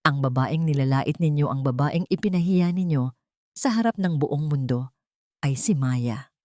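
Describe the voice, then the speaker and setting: A woman's voice: low voice, lively intonation, normal speed, mellow, no echo, clean studio sound, pitched below the performer's own voice. A woman voicing an elderly, powerful father in a story, speaking with firm, weighty authority. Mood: stern.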